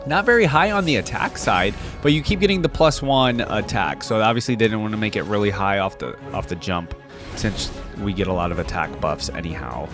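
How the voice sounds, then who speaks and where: Young man with animated, lively intonation. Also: on the low side, brisk, music in the background. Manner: informal, musing aloud. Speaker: reaction video host. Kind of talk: casual reaction commentary.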